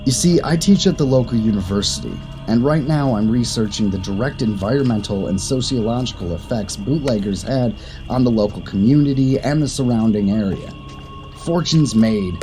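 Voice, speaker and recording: A man's voice: mid-range voice, lively intonation, moderate pace, dark tone, soft background music, lightly acted, low-quality recording. A man playing a university professor who builds up a spooky tale in an audio drama. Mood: storytelling. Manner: dramatic, storytelling. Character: middle-aged male professor spinning a spooky tale. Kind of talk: amateur audio drama acting.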